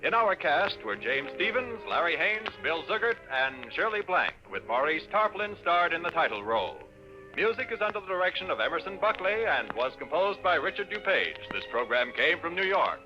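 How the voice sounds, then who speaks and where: A man's voice: a little high, very animated, moderate pace. A man reading scripted announcements in a formal, polished 1950s radio style. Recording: muffled vintage radio, music behind.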